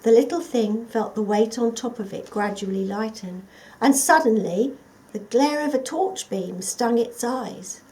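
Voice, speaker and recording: A woman reading a children's story aloud as a scripted narration, in an expressive, dramatic storytelling manner. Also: a little high, measured pace, low-quality recording. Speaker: storybook reader.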